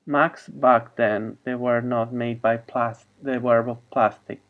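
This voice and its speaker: A man whose first language is Spanish talks slowly in a flat, even voice. He rambles in a halting way and often trails off. The sound is dull and lo-fi, like audio from a video call. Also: mid-range voice. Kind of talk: rambling monologue.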